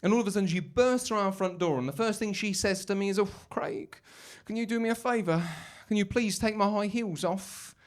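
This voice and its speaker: A man doing a stand-up set in a comedy club, delivering one-liners deadpan. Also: high voice, fairly quick, few pauses. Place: basement comedy club stage.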